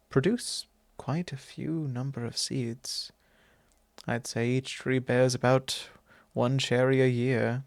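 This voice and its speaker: A man playing a courtly, fond vampire lord in an intimate ASMR roleplay, speaking formally and unhurriedly with pauses. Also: medium pitch, vocal fry, no echo. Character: courtly possessive male vampire lord, long-lived, fond and wistful. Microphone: close microphone, dry.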